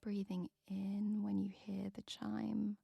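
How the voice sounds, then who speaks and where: A woman softly whispering a guided meditation with slow, soothing breath counts. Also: medium pitch, monotone, gravelly, husky, highs cut off.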